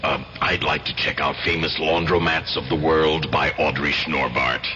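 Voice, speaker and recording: Very deep, sheepish man. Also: steady tone, normal speed, bright, forceful tone. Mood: sheepish, jokey. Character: sheepish, affable dieter in a library. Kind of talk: comic radio commercial acting. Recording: dull, noisy old radio broadcast.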